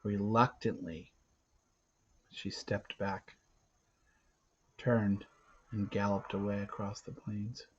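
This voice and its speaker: Slow, theatrical man reading a story. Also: lowish voice, level tone, slightly rough, muffled recording. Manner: theatrical, with dramatic pauses and big swings from hushed to loud. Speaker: read-aloud narrator. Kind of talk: dramatic storybook narration.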